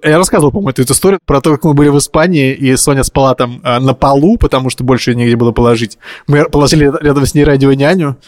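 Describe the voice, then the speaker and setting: A man's voice: medium pitch, racing, darkish tone, no echo, studio quality. A man co-hosting a casual podcast, telling stories in an informal, slangy way. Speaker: podcast co-host. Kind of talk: casual podcast chat, storytelling.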